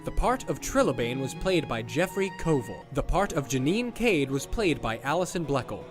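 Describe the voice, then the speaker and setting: A man reading scripted end credits as the announcer of an audio drama. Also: fairly high pitch. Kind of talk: scripted narration. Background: music.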